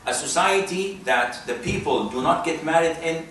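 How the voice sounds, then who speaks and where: Guy preaching, slightly high voice, deliberate and emphatic, super echoey room. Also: expressive, fairly bright tone. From Saudi Arabia. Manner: emphatic, rhetorical questions, deliberate phrasing. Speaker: Islamic preacher. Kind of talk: religious lecture to an audience. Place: mosque hall, talk to an audience. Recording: distant, very echoey room, faint noise.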